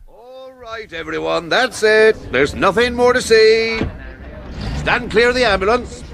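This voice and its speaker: A man playing a kindly, reassuring police constable in a radio drama, calmly and firmly taking charge. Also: high-pitched, unhurried, gravelly, crowd noise. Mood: affable, authoritative. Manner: authoritative, reassuring. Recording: loud street traffic and horns behind.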